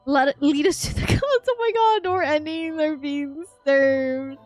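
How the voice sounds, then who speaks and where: A woman streamer giving a live game reaction, chatting casually with excitable, gushing exclamations. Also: high voice, unhurried. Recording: game music behind.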